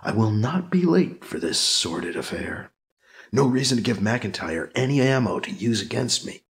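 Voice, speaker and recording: A man voicing a wry, sarcastic male character in a story, halting and joking through his unease. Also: mid-range voice, big pitch swings, pitched below the performer's own voice. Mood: bitter musing. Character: wry, sarcastic man, uneasy but putting on good cheer.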